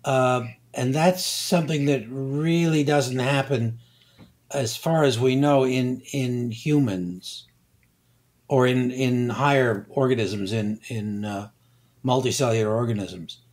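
An older male scientist speaking very slowly as an interview guest. He chooses his words with care and pauses often on filler sounds. His pitch sits in an ordinary middle range. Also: darkish tone. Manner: slow, deliberate, many hesitations.

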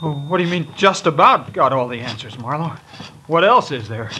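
A man playing a shaken, panicky man with a halting, agitated delivery in a 1950s radio drama. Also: on the high side, expressive, sharp tone, slight background noise, poor audio. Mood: uneasy. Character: shaken, panicky man who shot in self-defense. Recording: old 1950 radio recording.

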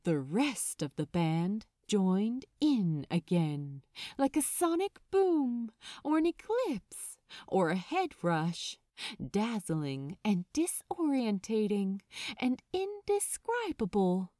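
Slow, expressive woman reading a story. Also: mid-range voice, no echo, studio quality. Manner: dramatic, deliberate, with emphatic pauses. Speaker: audiobook narrator of fan fiction. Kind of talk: expressive scripted story narration.

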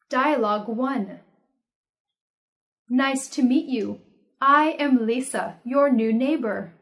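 Slow, careful young woman teaching English. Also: fairly high pitch. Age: young adult. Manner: slow, careful, clearly enunciated. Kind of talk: reading practice dialogues aloud, each line twice. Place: indoor studio with red curtain backdrop.

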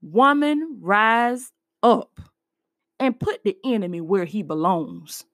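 A woman spiritual life coach hosting a podcast, talking excitedly to listeners and then reciting spoken word poetry with a rousing refrain. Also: slow, dry recording, clean studio sound. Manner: rousing, refrain called out high and strong; upbeat, excited.

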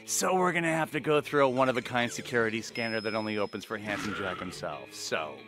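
A man's voice: lowish voice, quick pace, close to an everyday voice. A man voicing a cowardly, rambling young body double in a comic video game, nervous and sarcastic. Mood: exasperated. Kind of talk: animated comic game cutscene acting. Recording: music behind the voice.

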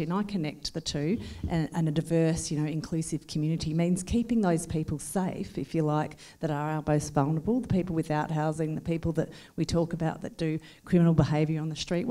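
Low mellow-voiced woman asking a question. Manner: probing, thoughtful. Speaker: audience member asking a question. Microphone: handheld mic.

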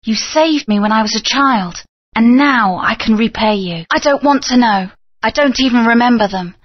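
A woman's voice: on the high side, normal speed, no room sound, natural voice. A woman voicing a loyal, earnest young heroine in a video game. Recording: dry, muffled lo-fi audio.

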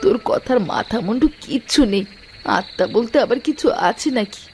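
A woman's voice: fairly high pitch, lively intonation, brisk, a little mellow, faint music behind, close to an everyday voice. A woman voicing a scheming older mother-in-law in a cartoon, who wails and scolds. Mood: scolding. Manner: wailing, scolding. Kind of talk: Bengali cartoon dubbing.

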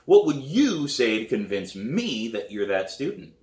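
A man's voice: medium pitch, sing-song, measured pace, a little mellow. A man voicing a crabby, skeptical middle-aged drum teacher in a storybook reading, dry and dismissive. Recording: roomy, echoey living room.

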